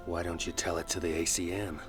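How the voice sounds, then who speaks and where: An actor playing a hard-boiled bounty hunter in a video game, laconic and guarded. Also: male voice, low-pitched, flat delivery, brisk, music in the background, close to an everyday voice. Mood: guarded, dry. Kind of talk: video game cutscene acting.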